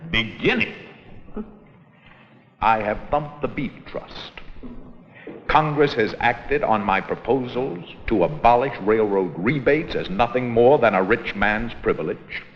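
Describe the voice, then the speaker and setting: An actor playing a forceful, blustery American president and reformer in an educational film re-enactment, speaking in an emphatic, oratorical way. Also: male voice, mid-range voice, expressive, measured pace, natural voice. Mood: hearty, combative. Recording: muffled old 16mm film, reverberant room.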